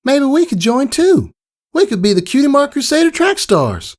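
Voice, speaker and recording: Man as a squeaky, gushing young filly. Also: moderate pace, full character voice. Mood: excited. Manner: gushing, excitable. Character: bubbly young filly pony, eager and enthusiastic.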